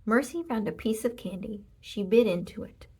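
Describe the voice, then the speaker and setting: A woman narrating a children's storybook in an expressive read-aloud style. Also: on the high side, normal speed, breathy edge. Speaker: storybook reader.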